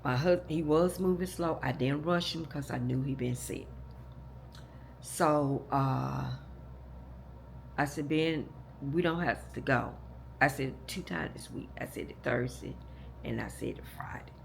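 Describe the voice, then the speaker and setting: Hoarse, gravelly woman rambling. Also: rumbling low, a little slow, a little hiss or hum. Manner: rambling, repeats and restarts, talks straight to "y'all". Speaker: vlogger. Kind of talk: casual storytelling to viewers.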